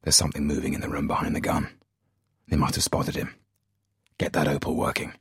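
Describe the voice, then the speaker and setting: An excitable army captain blurts out a high, breathless, urgent running report from his lookout post, as a male narrator puts him on. His voice keeps a husky grain, and it sits close and dry with no room around it. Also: fairly quick, no echo, exaggerated voice. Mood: whispered.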